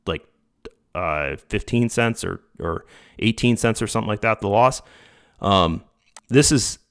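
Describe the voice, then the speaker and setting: A man with a lowish voice that is going a little hoarse from overuse. He rambles casually through what he shows on screen, stopping to correct himself and talking straight to his viewers. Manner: rambling, self-correcting, addresses viewers as guys. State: slightly hoarse, losing his voice. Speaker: channel host reviewing a trading bot. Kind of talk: casual screen-share commentary.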